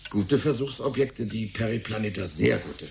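A man's voice: lowish voice, lively intonation, normal speed, vocal fry, darkish tone, slight background noise. An actor playing a genial, erudite older scientist in a German radio drama, savoring his words in a fatherly way. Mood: genial, absorbed. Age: middle-aged. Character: genial, enthusiastic older titled scientist, Michael's fatherly senior.

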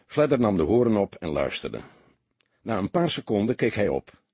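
A man narrating a detective novel as an audiobook, in a measured, expressive storytelling manner. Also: fairly low pitch, husky, no room sound.

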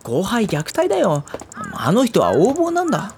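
A woman playing a gentle, diffident high school boy with a boyish voice in an anime audio drama. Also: wildly swooping pitch, moderate pace, slight background noise. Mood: flustered, protesting. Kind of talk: anime audio drama dialogue and inner monologue.

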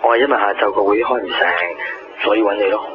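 An actor playing a jealous, teasing young boyfriend on a phone call in a radio drama, casual and clipped, with a Hong Kong accent. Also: male voice, on the low side, steady tone, quick pace, close to an everyday voice. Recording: muffled radio phone call under music. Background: loud music.

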